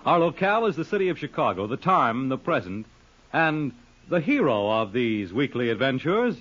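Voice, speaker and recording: A man's voice: mid-range voice, sing-song, normal speed. A man announcing a radio show in a dramatic, declamatory 1940s style. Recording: dull old radio recording, loud music.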